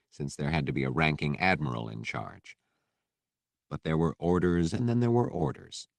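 A man's voice: extremely low pitch, vocal fry, no room sound, studio quality. A man reading a novel as scripted audiobook narration.

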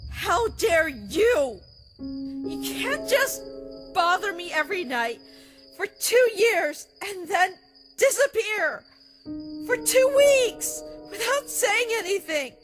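A young woman voicing a sweet, emotional human girlfriend figure in a comic dub, expressive and earnest. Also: very high voice, slow pace, heavily acted. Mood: tearful, angry. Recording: music behind the voice.

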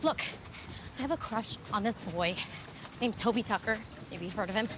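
A young woman with an American accent playing a blunt, sarcastic, insecure but determined high school girl in a naturalistic comedy film. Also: normal speed, lightly acted. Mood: earnest, frustrated. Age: young adult. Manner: blunt, wry, wordy. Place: open outdoor sports field. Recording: outdoor film sound, clearly noisy background.

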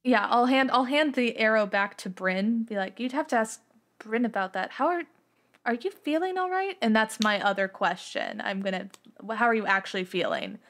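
A woman plays in a tabletop role-playing game. Her voice sits a little high, and she moves casually between describing actions and speaking in character. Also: animated, normal speed, a bit bright. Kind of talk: casual improvised role-play.

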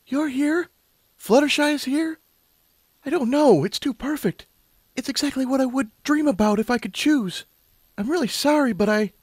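A man's voice: extremely high pitch, moderate pace, slightly rough, no echo, voice pushed up. A man voicing an anxious, sceptical young female unicorn pony with a hesitant, questioning, earnest delivery. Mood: unsure, apologetic.

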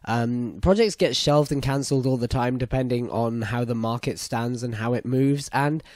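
A young British man with a croaky voice hosting a solo gaming news podcast, chatty and opinionated. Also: medium pitch, normal speed, a little mellow, dry recording, studio quality. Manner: chatty, opinionated, apologetic asides. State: hoarse, croaky voice after a concert. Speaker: podcast host.

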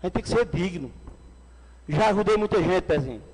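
A man in his forties with a Pernambuco accent giving an angry, shouting speech as a city councilman at a desk microphone. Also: high voice, expressive, a little fast, noisy background. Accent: Pernambuco Brazilian Portuguese. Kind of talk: heated council floor speech. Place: council chamber indoors. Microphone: desk microphone on stand. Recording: long reverb of a council hall.

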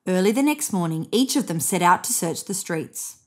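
A woman in her thirties narrates a children's picture book aloud. Her delivery is expressive, with very lively rises and falls in pitch. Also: moderate pace, a little hiss or hum. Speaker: storybook reader. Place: indoors on a bed.

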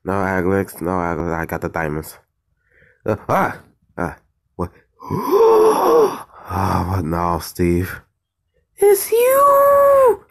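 Man voicing excitable young game adventurer, amateur cartoon skit, stammering, slow overall, dry close sound. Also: low voice, breathy edge, no echo, heavily acted. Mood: startled. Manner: stammering, exclaiming. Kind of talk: amateur animated skit dialogue.

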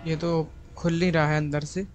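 A man's voice: on the high side, level tone, a little fast. A young man giving casual commentary as host of a gaming video, with game music behind him.

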